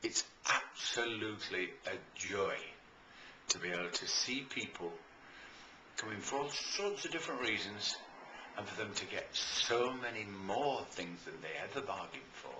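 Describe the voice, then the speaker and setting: A British man speaking as a coach promoting his services in an informal, enthusiastic and emphatic talk with deliberate pauses, recorded in a roomy space. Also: fairly low pitch, lively intonation, sharp tone. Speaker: life and business coach promoting own services. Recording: roomy echo, faint background noise.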